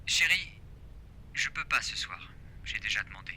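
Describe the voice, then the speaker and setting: Man acting a bright-voiced, hushed forest ranger. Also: on the low side, animated, normal speed, a little hiss or hum. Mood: soothing. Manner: hushed, reassuring, evasive. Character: male forest ranger soothing his frightened wife. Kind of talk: French audio drama acting.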